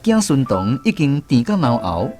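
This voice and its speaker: A man's voice: mid-range voice, very animated, normal speed. A man with a Taiwanese accent narrating a scripted radio drama as its storyteller. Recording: music under the voice. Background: music.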